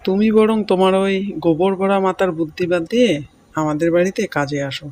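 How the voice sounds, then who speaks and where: A man's voice: high voice, fairly quick, a little mellow, natural voice. A man voicing a greedy, haughty village landlord in a cartoon story, domineering and dismissive. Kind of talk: Bengali cartoon story voice acting.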